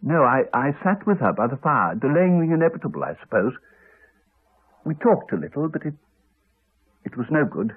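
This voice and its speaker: A haunted man in an eighties radio play speaks through a narrow, phone-like recording. His voice is very husky, and his pitch moves a great deal as he haltingly confides, trailing off into pauses. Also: big pitch swings. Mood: haunted, tense. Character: Alex, haunted man haltingly recounting a horror. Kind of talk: 1980s radio drama acting.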